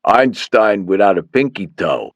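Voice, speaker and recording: A man's voice: fairly low pitch, expressive, dry recording, lo-fi sound. A man voicing an eager, dim-witted male henchman who talks in an earnest, helpful way. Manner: earnest, helpful, slightly slow.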